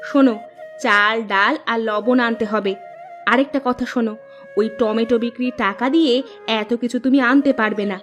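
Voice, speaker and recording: A woman playing a bossy, practical village housewife in a Bengali cartoon, talking briskly and scoldingly. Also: fairly high pitch, a bit bright, close to an everyday voice. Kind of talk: Bengali moral-story cartoon dubbing. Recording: dull lo-fi track with music.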